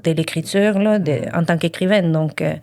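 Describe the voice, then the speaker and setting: A woman's voice: on the low side, moderate pace, a little mellow. A woman, a writer, talking reflectively and casually in a podcast conversation.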